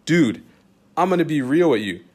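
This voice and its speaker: A man's voice: a little high, lively intonation, normal speed, a little mellow. A man with a Canadian accent, an Islamic teacher talking straight to his followers in an emphatic, repetitive way, full of rhetorical questions. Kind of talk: direct-address monologue to followers.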